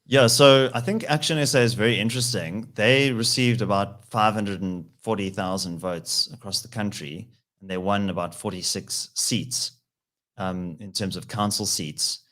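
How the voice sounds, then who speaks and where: A man, a political analyst answering interview questions in a measured, explanatory way with frequent pauses. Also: lowish voice, fairly bright tone.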